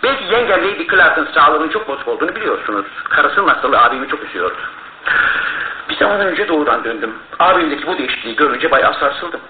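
Fast-talking agitated gentleman played by a man. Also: fairly high pitch, animated, close to an everyday voice. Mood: troubled. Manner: formal, insistent. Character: well-bred bereaved brother, agitated, formal gentleman. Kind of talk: Turkish radio theatre acting. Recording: roomy narrow-band old radio recording, faint noise.

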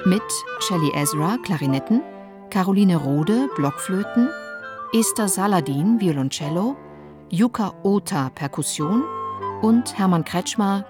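A woman reads the credits of a radio drama in a crisp, formal tone. Her voice sits a little low, with clear instrumental music playing behind her. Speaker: announcer. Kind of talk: credits announcement.

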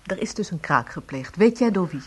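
Concerned girlfriend, lively intonation. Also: on the low side, a little fast, breathy edge. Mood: curious, wry. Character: concerned, gently questioning girlfriend of a crook. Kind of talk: Dutch radio play acting.

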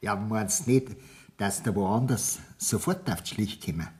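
An actor with a Bavarian accent playing a cautious, insinuating innkeeper in a radio play. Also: male voice, fairly low pitch, lively intonation, unhurried, a little mellow. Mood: doubtful, insinuating. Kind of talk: radio play acting in dialect.

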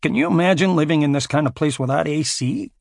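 A man's voice: a little high, fast, vocal fry, no echo, clean studio sound, voice pushed up. A man voicing a burly, crude American ex-marine security contractor with an American accent. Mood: brash. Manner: crude, swaggering, profane.

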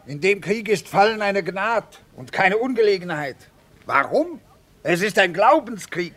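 An actor playing a pious, pompous and timid army chaplain, preachy in manner, in a theatrical radio drama. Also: male voice, high-pitched, big pitch swings, a little slow, fairly bright tone, exaggerated voice. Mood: pompous, righteous.

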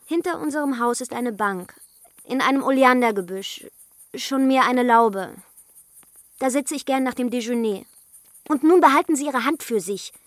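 An actress playing a haughty, impatient young upper-class daughter in a radio play, speaking in precise, imperious, chiding phrases. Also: female voice, on the high side, expressive, normal speed, dry recording, clean studio sound, natural voice. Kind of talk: German radio play acting.

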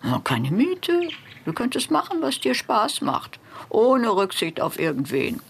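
A woman's voice: on the high side, huge pitch swings, measured pace, vocal fry, a little hiss or hum, exaggerated voice. A woman playing a clinging, reproachful elderly mother in a radio drama, nagging and coaxing. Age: older.

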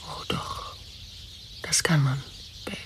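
An actress playing a grieving, reproachful woman who speaks in a hushed way in a radio drama. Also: slow, whispered, natural voice. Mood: sad, reproachful. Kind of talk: intimate radio drama dialogue.

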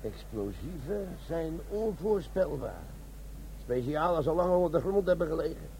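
A self-important army sergeant and schemer in a comic radio drama. His voice is high and very animated, with a gravelly creak. He grumbles and blusters like a put-upon boss, over faint background noise. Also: moderate pace, low-quality recording. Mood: brisk, jaunty. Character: wily, grumbling army bomb disposal sergeant and robber.

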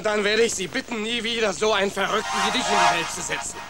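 A man plays a big-mouthed young male rat in a children's radio play. The voice sits high for a man, cheeky and sulky. Music is clearly audible behind it. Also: fast, lightly acted. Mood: reluctant, exasperated.

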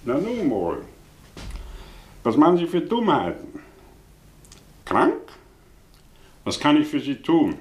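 Haughty, slow-speaking professor in a roomy space. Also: male voice, fairly high pitch, huge pitch swings, exaggerated voice. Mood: suave, teasing. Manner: sardonic, elaborate, condescending. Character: haughty, mocking, eloquent male intellectual professor. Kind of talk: 1960 German radio play acting.

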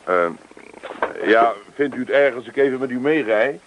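An actor playing a folksy small-town car dealer in a Dutch radio play, with chummy, slightly hesitant sales patter. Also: male voice, medium pitch, moderate pace, muffled recording, crowd noise, natural voice. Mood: hesitant, accommodating.

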